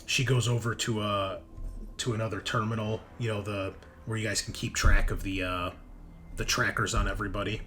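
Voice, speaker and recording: A man narrating a tabletop roleplaying game as game master, improvising in a halting, conversational way. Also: fairly low pitch, flat delivery, sharp tone, slight background noise.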